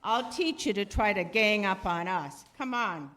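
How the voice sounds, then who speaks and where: A woman's voice: mid-range voice, lively intonation, a little slow, fairly bright tone. A woman playing a tough, bossy male gangster with blustering, slangy talk in a 1930s-style radio drama. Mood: suspicious, menacing. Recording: reverberant hall stage recording.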